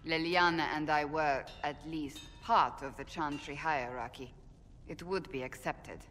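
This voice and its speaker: Low-voiced stern warrior woman. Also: steady tone, fairly bright tone, faint music behind. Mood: guarded, dry. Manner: formal, measured, deliberate phrasing. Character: stern, devout, principled warrior woman, earnest and blunt. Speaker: voice actress in a fantasy game. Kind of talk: dramatic video game dialogue.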